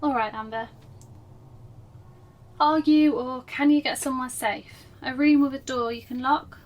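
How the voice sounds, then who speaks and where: A woman's voice: high voice, steady tone, unhurried, recorded from a distance, lo-fi sound. A woman playing a concerned police dispatcher in a horror story, speaking firmly but lightly to reassure a child caller. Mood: reassuring, steady.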